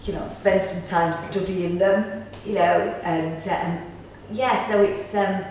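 A woman's voice: medium pitch, steady tone, a little slow, a little mellow. A middle-aged woman, chatting informally in a casual interview. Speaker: pantomime actor. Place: small indoor room, seated on sofa. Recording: echoey room, distant mic, faint noise.

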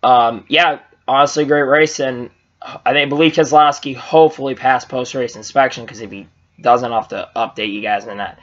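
A man's voice: medium pitch, moderate pace. A young man casually recapping a race on a webcam in a small room, rambling with fillers and restarts, his voice a bit strained. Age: young adult. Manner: rambling, lots of fillers and restarts, gets excited. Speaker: vlogger reviewing races. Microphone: webcam at low angle. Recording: slightly dull lo-fi webcam audio.

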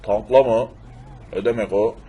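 A man playing a gruff, plaintive fellow who fusses over his beloved cars in an amateur cartoon dub. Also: fairly low pitch, steady tone, normal speed, dull audio. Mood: puzzled. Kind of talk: amateur cartoon-style game video dub.

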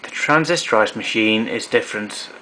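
Male hobbyist demonstrator, crisp forward tone, plain technical explanations, mid-range pitch, some background noise on an amateur camera recording. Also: moderate pace, pushed and bright, poor audio. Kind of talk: explanatory equipment walkthrough. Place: indoor room at home.

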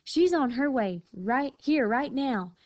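A woman's voice: high-pitched, expressive, takes it slowly, darkish tone, dry recording, dull audio, pitched above the performer's own voice. A woman voicing a vain, fussy female armadillo in a storybook, with prim, emphatic sass. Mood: sassy, persuasive.

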